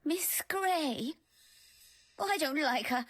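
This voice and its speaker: An actress playing an ailing, querulous upper-class widow in a video game, languid and haughty. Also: female voice, high-pitched, expressive, slow pace, fairly bright tone, heavily acted. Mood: querulous, disdainful.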